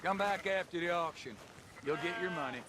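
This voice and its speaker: An actor playing a shady, unflappable livestock dealer with a dry, insinuating manner in a video game. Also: male voice, high-pitched, brisk, noisy background, close to an everyday voice. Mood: sly haggling. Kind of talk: video game cutscene acting.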